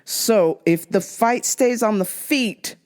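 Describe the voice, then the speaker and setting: A woman hosting a casual podcast, giving fight picks in a crude, joking, animated way. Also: mid-range voice, takes it slowly. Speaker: podcast host.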